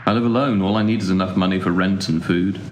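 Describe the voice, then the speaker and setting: A man's voice: low voice, fairly even intonation, fast talker, close to an everyday voice. A young man acting a cynical, sardonic, aimless younger brother in a naturalistic audio drama, with a dry, wordy wit. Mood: defensive. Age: young adult. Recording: echoey room, faint background noise.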